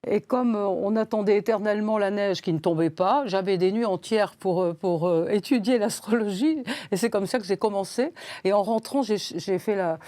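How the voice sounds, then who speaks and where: A woman's voice: mid-range voice, moderate pace, warm tone, no room sound. A woman in her eighties, a guest in a studio interview, talking in a rambling way with many hesitations and repeated words. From Switzerland. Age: 80s. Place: studio set.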